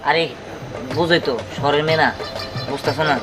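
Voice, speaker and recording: A man's voice: a little high, steady tone, brisk, natural voice. A young man playing an exasperated groom in a comic short film, explaining himself defensively in a Bangladeshi accent. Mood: flustered. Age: young adult. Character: exasperated young village groom defending his city bride. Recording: music behind.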